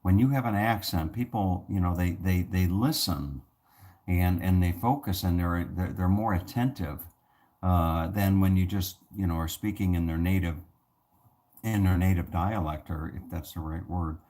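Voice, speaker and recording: A man with a very low, soothing voice talks straight to his viewers. He rambles along with plenty of restarts and fillers, as on a casual home video channel. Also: moderate pace, mellow. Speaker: channel host. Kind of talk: casual talk to camera.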